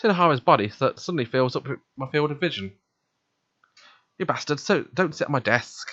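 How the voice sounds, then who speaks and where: A man's voice: mid-range voice, lively intonation, a little fast, a bit bright, dry recording, muffled recording. A man hosting a let's play, reading visual novel narration aloud and commenting casually, stumbling now and then.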